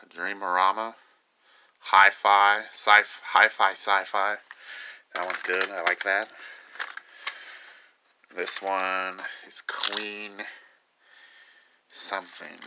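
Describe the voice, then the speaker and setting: A man of twenty chatting casually and haltingly in an unscripted show-and-tell, filmed at home on a handheld phone. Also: deep voice, fairly even intonation. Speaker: video maker showing a collection. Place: small cluttered room at home. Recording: noisy phone recording with clicks.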